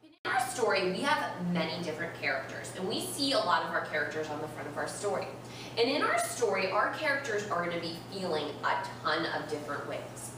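A young woman teaches a read-aloud to small children in an expressive, instructive way, stretching out important words. Her pitch moves around a lot. The sound is very reverberant and noisy, picked up by a camera some distance away. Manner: expressive, instructive, with slowed and stressed key words. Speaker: primary school teacher. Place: elementary classroom, indoors. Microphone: far camera. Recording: roomy, noisy classroom, distant camera microphone.

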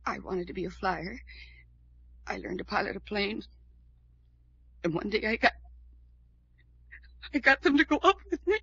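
Husky, anguished young woman in radio melodrama. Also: medium pitch, very animated, unhurried, pauses often, bright, forceful tone, heavily acted. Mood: grieving, halting. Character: distraught, morbid young woman convinced she is cursed. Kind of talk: old-time radio drama acting. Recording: dull vintage radio recording.

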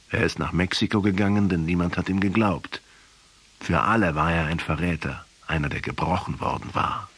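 An earnest, principled professor in a radio drama. The voice is very low and husky. He argues deliberately, with an accusing edge, like someone pressing a case. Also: a bit bright, full character voice. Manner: deliberate, argumentative. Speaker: radio play actor.

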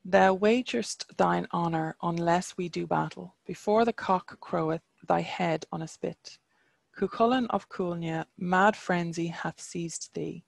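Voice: medium pitch, unhurried, vocal fry, no room sound. A reader voicing a proud, scornful male warrior champion, reciting archaic taunting verse.

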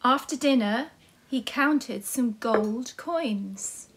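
A woman narrating a children's story as a nursery storyteller, with simple, deliberate phrasing meant for small children. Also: on the high side, a little hiss or hum.

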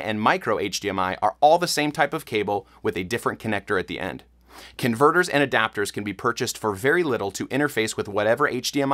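A man in his thirties giving a clear, methodical scripted tutorial to the camera in a studio. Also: lowish voice, fairly bright tone. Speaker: church tech instructor. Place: studio in front of a blue backdrop.

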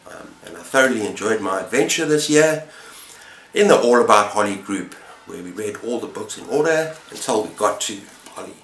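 A man in his fifties with a fairly low voice talks casually to camera in the role of a book reviewer. He is enthusiastic and rambles a little. The room adds clear echo, with a faint layer of noise behind him. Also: bright, forceful tone. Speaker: book reviewer and channel host. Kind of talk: casual chat to camera about books. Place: small indoor room with bookshelves.

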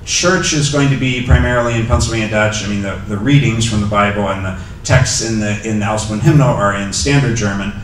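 A man's voice: lowish voice, a little fast, hardly any pauses, a little hiss or hum. A middle-aged man speaking at a podium into a handheld mic, answering audience questions hesitantly, with many ums and restarts. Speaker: guest lecturer. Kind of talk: lecture Q&A answers. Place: lecture hall with a podium. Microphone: handheld microphone. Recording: echoey hall audio from far off.

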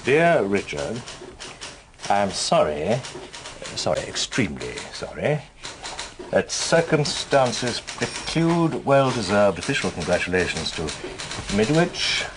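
Radio drama: senior bureaucrat, deep, formal, halting dictation, birdsong and faint noise behind. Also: male voice, sing-song. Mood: stiff, measured. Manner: formal, hesitant, measured. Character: stiff senior government official dictating a letter.

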